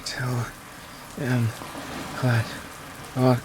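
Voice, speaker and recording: A man's voice: medium pitch, completely flat delivery, drawn-out words, noisy background, voice pushed up. A man playing a frail, miserable young detective who sees ghosts, muttering in anguish in a noir horror audio drama. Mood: pained.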